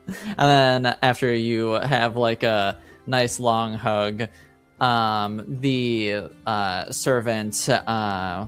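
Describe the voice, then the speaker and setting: Slow, halting male game master. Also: on the low side, a bit bright, clean tone. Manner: halting, frequent pauses. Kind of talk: improvised tabletop game narration.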